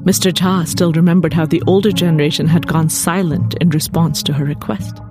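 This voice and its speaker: A woman reading her own literary fiction aloud as an author, with expressive narration. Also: deep voice, a little fast. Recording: music bed behind the voice. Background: composed background music.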